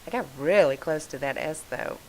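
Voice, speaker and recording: A woman's voice: low voice, moderate pace, a little hiss or hum, rough recording. A woman with a froggy, congested voice from allergies, chatting in a rambling, apologetic way as she narrates her scrapbooking process from her home craft room. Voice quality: froggy, gravelly voice. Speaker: scrapbooking video host.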